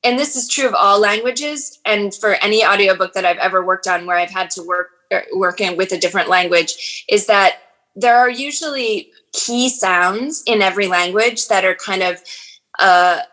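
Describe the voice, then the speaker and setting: A chatty woman in her forties who narrates audiobooks and acts, talking in an easy, casual interview. She often restarts her sentences and fills pauses as she thinks aloud. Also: medium pitch, poor audio. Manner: chatty, warm, frequent fillers and restarts.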